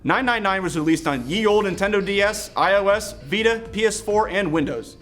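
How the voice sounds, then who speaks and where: A man with a slightly high voice delivers a scripted game review with clear enthusiasm. Soft music plays behind him. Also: animated, brisk. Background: background music.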